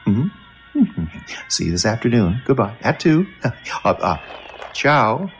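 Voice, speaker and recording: An actor playing a glib, evasive newspaper reporter who stammers and rambles while juggling a phone call. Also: male voice, mid-range voice, sing-song, slow, faint music behind. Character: brash fast-talking male newspaper reporter, evasive. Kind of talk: radio play acting.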